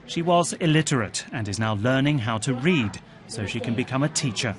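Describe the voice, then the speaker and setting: A man narrating a television news report in a measured, scripted voice, also voicing translated quotes. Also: medium pitch. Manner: measured, even reporting delivery.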